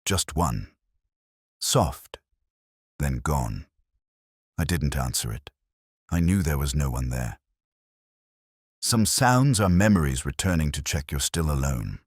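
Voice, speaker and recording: A man playing a melancholy detective inspector who narrates his case in solemn, reflective, measured phrases, in the style of a literary audio mystery. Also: a bass voice, very animated, slightly rough, dry recording, studio quality, exaggerated voice. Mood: haunted, grave. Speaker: first-person narrator.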